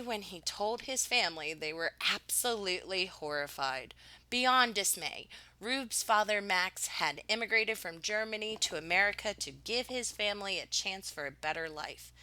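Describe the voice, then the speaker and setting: A woman reading a picture book aloud like a teacher, expressively, with dramatic pauses and emphasis on key words. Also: lowish voice, pushed and bright.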